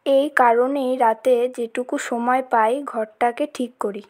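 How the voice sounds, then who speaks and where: Casting: woman as humble young villager child, high voice, little inflection, plain-spoken cartoon role. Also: fairly even intonation, unhurried, a little mellow, lightly acted. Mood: weary, matter-of-fact. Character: poor humble village child caring for a deaf father. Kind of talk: cartoon story dialogue.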